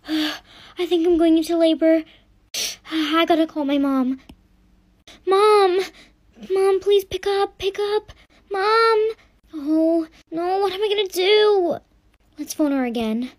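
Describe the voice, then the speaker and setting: A high-pitched, slow-talking teenage girl, pregnant and quick to snap, as a woman acts her out. She sulks and bites back at her family, then turns panicky when things go wrong. It is a home-made family comedy skit. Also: steady tone, darkish tone. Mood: panicked. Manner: snappy and sulky, then panicky.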